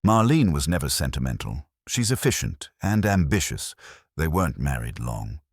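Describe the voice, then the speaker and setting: A man's voice: rumbling low, sing-song, normal speed, slightly rough, no echo, clean studio sound, full character voice. A man voicing a cautious, polished executive in his forties in a detective story, speaking in a guarded, managerial way. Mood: measured, guarded. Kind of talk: audiobook detective mystery dialogue.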